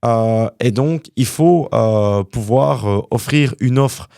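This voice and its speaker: A young man from Canada, a guest entrepreneur telling his story in a casual interview, animated and full of fillers. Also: mid-range voice, takes it slowly, creaky, smooth, no echo, clean studio sound. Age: young adult.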